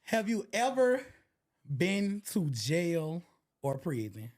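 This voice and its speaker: A man in his late twenties hosting a casual interview, playful and teasing, quick to laugh. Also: on the high side, sing-song, darkish tone. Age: 20s. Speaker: podcast host asking questions.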